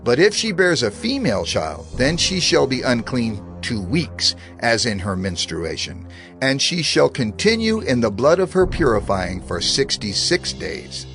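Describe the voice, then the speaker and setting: A man reads scripture aloud in a solemn, measured way, his mid-pitched voice swinging widely through each phrase. Faint music plays softly beneath him. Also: big pitch swings. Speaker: Bible narrator.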